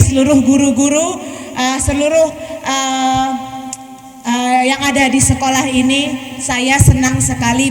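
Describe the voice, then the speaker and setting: A woman around thirty giving a chatty, teasing speech to students at a school visit. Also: sits high. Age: about 30. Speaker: guest speaker visiting a school. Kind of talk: lively speech to students, joking and quizzing. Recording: echoey hall with crowd noise.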